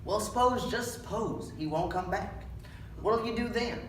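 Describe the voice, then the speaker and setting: A man's voice: sits high, a little slow, pitched above the performer's own voice. Young man playing a bitter stable hand, echoey. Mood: taunting. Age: young adult. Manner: rural American dialect, read aloud with needling persistence. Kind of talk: readers' theatre script reading. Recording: echoey room, distant mic, background noise.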